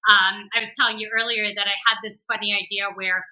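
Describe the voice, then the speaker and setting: Bright-voiced chatty woman, laughing easily. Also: mid-range voice, level tone, quick pace, rough recording. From Canada. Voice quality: soft voice with slight hoarseness. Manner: chatty, laughs often, sings snippets. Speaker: country-rock singer, interview guest. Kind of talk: casual interview chat.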